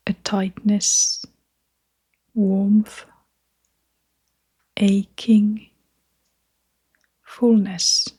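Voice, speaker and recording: A woman guiding a meditation in a soft, half-whispered voice, gentle and soothing, leaving long pauses between phrases. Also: medium pitch, slightly rough. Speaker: meditation guide. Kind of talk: guided meditation narration.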